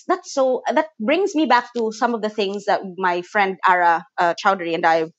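A woman's voice: mid-range voice, moderate pace, dry recording, dull audio. A Cebuano actress in a casual interview, with a Filipino accent, laughing often and restarting her sentences. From Cebu, Philippines. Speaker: actress guest.

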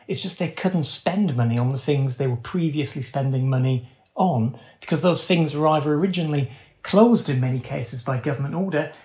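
A man's voice: fairly high pitch, darkish tone. A man giving conversational economic analysis as an interview guest, explaining in informal, nuanced phrasing.

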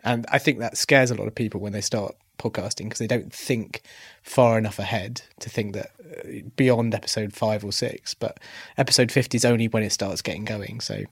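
A man's voice: on the low side, steady tone, normal speed, breathy edge, no echo. A man presenting a podcast and interviewing casually.

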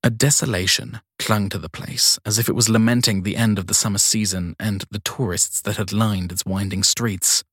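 A man's voice: fairly low pitch, steady tone, normal speed, few pauses, a bit bright, slightly rough, no room sound, studio quality. A man narrating a crime story in a scripted audiobook style.

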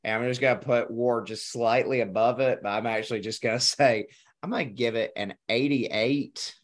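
A man's voice: medium pitch, normal speed. A man on a casual film podcast who gives enthusiastic, emphatic opinions. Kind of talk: casual film review chat.

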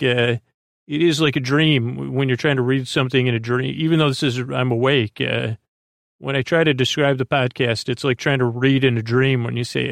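A man with a creaky voice hosting a sleep podcast, meandering through a soothing, hesitant bedtime monologue full of tangents. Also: mid-range voice, no room sound, clean studio sound. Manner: soothing, lulling delivery. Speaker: podcast host.

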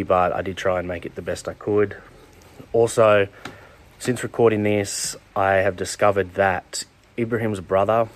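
A man hosting a podcast, soft-spoken and gentle in a casual interview. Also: low-pitched. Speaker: podcast host.

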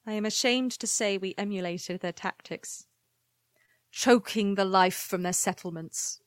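A woman plays a grave historian of a flower people in an audio drama. She speaks slowly in a measured, formal way, proud yet weary and regretful. The sound is dry and close, with a medium-pitched voice. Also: dry recording, natural voice. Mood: solemn, measured.

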